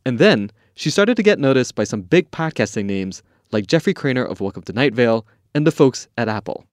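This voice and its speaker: Man, podcast host, scripted narration, mid pitch, animated intonation, very dry recording. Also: a little fast, no room sound.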